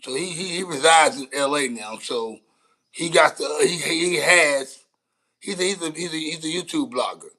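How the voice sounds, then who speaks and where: An American man with a slightly high voice talks in a casual livestream style. He is blunt and slangy, circling back over his own phrases as he makes his point. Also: normal speed, bright, forceful tone. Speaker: content creator reacting to news clips. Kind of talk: casual livestream commentary on street news.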